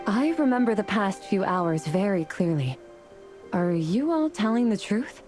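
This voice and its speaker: A woman's voice: medium pitch, animated, measured pace, darkish tone, faint music behind, close to an everyday voice. A woman playing a noble, resolute celestial being in a game story, speaking formally and with composure. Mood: firm, puzzled. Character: noble, solemn, resolute female celestial warrior. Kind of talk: scripted game voice acting.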